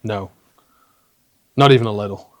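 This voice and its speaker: A man voicing an old male British punk-scene mate who passes on gossip in a hushed, conspiratorial way. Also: fairly low pitch, moderate pace, no echo, close to an everyday voice. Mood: gossipy.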